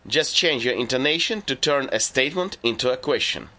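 A man giving scripted narration for a language lesson, laying out rules and lists in a measured, deliberate way. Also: medium pitch, expressive, bright, forceful tone. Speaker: language teacher.

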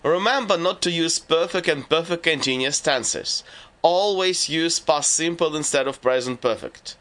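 A man giving scripted narration for a language lesson, laying out rules and lists in a measured, deliberate way. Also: a little high, a bit bright. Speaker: language teacher.

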